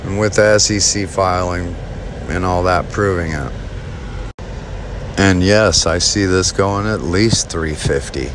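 Deep-voiced man rambling about a stock. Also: background noise. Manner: rambling, halting, many ums and restarts. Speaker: stock market video host. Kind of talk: casual talk over a chart screen share.

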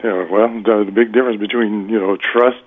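A man's voice: fairly low pitch, steady tone, brisk. A man in a casual phone conversation over a tinny line, speaking in a hesitant, polite and guarded way. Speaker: homeowner receiving a prank call. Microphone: telephone.

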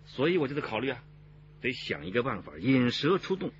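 An actor playing a composed, decisive police detective chief in a radio crime drama. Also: male voice, mid-range voice, big pitch swings, brisk, muffled recording, noisy background, close to an everyday voice. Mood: deliberating.

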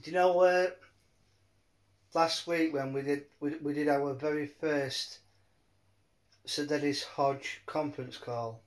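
A man chatting casually in a rambling, halting way, often repeating his words. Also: a little high, steady tone. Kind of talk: rambling casual phone chat.

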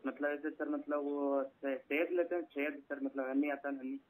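A man from Rajasthan talking haltingly and deferentially as a customer on a recorded phone call. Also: a little high, little pitch movement, a little mellow. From Rajasthan, India. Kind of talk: hesitant phone conversation.

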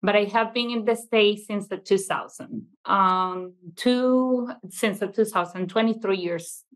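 A woman's voice: mid-range voice, a little slow, low-quality recording. A middle-aged woman from Venezuela, whose first language was Italian, speaking with a Venezuelan accent as an interview guest. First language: Italian first language, raised in Venezuela. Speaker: interview guest, childcare school owner. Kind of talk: conversational interview answers. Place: classroom, video call.

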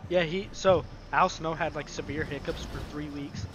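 A man's voice: a little high, fairly even intonation, normal speed, noisy background. A man chatting with friends during an online game, easygoing and telling stories. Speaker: gamer in group voice chat. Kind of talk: casual chat.

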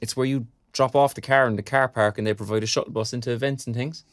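A man's voice: mid-range voice, steady tone, fast, dry recording, studio quality, close to an everyday voice. A man playing a wry young Irish graduate. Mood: wry, teasing. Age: young adult. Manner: colloquial, sardonic. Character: young underemployed arts graduate, frank and wry. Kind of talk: naturalistic audio drama dialogue.

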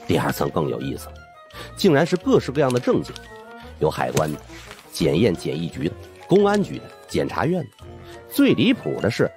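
A man's voice: mid-range voice, dark tone. A man narrating suspense fiction as an audiobook in a dramatic scripted style. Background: background music.

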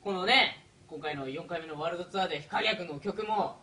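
A man's voice: sits high, a little fast, sharp tone, echoey room. A young man in a band, cheerfully leading a casual group video message to fans as emcee, recorded indoors. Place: indoor rehearsal room. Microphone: far camera. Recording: distant camera mic.

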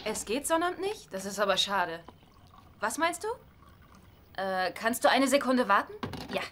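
An actress playing a crafty, wry wife who keeps up polite manners in a dubbed sitcom. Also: female voice, on the high side, sing-song, normal speed, fairly bright tone. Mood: polite, friendly.

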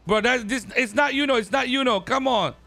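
A man's voice: very high voice, fairly quick, gravelly, pushed and bright, no echo, soft background music. A young man streaming game roleplay, bantering in slang with exclamations and swearing.

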